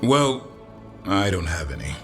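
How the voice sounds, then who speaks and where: A man's voice: low-pitched, very animated, measured pace, heavily acted. An actor playing an exasperated, wry man in a video game, dry and put-upon in manner. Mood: weary authority. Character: exasperated, wry male companion wrangling noisy animals. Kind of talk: video game character acting. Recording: loud music behind.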